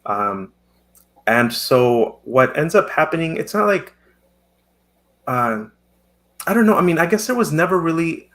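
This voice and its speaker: A man's voice: on the high side, sing-song, unhurried, pauses often, a bit bright. A man in his thirties whose first language is Spanish, talking thoughtfully as a poet guest in a casual interview. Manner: thoughtful, reflective.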